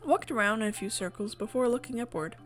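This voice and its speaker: A woman's voice: on the high side, fast, soft background music. A woman reading story narration aloud from a visual novel in a casual playthrough. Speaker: visual novel reader and streamer.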